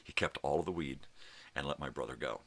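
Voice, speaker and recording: A middle-aged man with a gravelly voice, rambling casually as he tells stories with swearing and emphatic bursts. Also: very deep voice, fairly quick, a bit bright. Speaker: host of a daily personal vlog.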